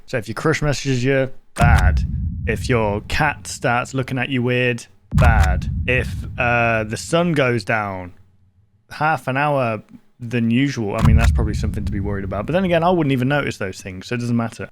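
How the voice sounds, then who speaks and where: A man's voice: mid-range voice, moderate pace, background noise. A man giving casual, rambling, off-the-cuff reaction commentary as a video host, often repeating himself.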